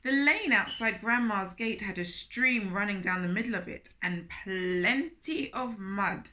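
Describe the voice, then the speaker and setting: A woman reading a picture book aloud to young children, animated and speaking to them directly with questions. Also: mid-range voice, unhurried. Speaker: children's storyteller, host of a storytime series.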